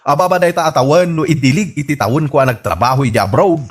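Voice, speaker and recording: A man's voice: fairly high pitch, fast talker, natural voice. A man playing a mocking, scornful villager in an Ilocano radio comedy drama, with an Ilocano accent. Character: mocking male villager scoffing at boastful returnee.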